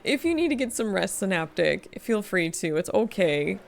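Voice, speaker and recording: A woman's voice: mid-range voice, big pitch swings, normal speed, vocal fry, faint music behind. A tired, slightly sniffly woman streaming a game, chatting casually, reading chat aloud and laughing often. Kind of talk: casual gaming livestream chat.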